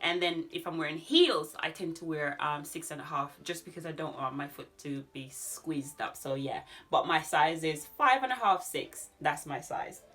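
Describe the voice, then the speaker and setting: A woman in her thirties with a Jamaican accent, chatting casually to camera in an animated way as she answers questions. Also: sits low, moderate pace, hardly any pauses. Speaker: YouTube vlogger. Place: small furnished room at home.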